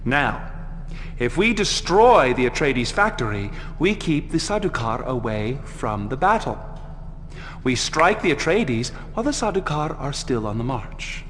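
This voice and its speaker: An actor playing a smug, scheming military adviser of a villainous house in a game cutscene, sardonic and deliberate, savoring his pauses. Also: medium pitch, sing-song, fairly bright tone, in a large hall. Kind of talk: dramatic game cutscene briefing.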